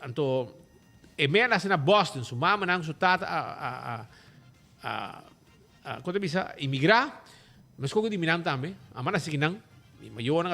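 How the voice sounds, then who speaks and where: Lively high-voiced Aruban man on radio. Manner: lively, rambling, mixes languages. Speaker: radio show host. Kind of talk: casual radio talk with ads.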